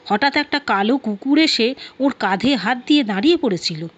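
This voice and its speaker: A woman's voice: on the high side, animated, a little fast. A woman storyteller voicing a startled, anxious male office errand-man in a flustered manner. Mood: explaining. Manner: flustered, astonished. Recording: dull lo-fi audio, music behind.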